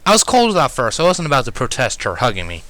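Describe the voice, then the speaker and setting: A man reading a first-person story aloud as an expressive narrator. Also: mid-range voice, racing, no echo. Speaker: fan fiction reader, narrator.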